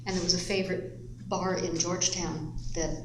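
An older woman who grew up in the Panama Canal Zone, telling family anecdotes in a lively, amused way during an oral history interview. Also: on the low side, moderate pace. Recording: echoey room with background noise.

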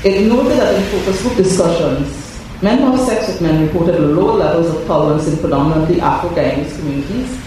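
A hoarse, scratchy-voiced man giving a formal prepared speech at an event, measured and careful, with small self-corrections. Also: a little high, expressive, dark tone. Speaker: invited speaker at a report launch. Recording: very echoey hall, mic far off, faint noise.

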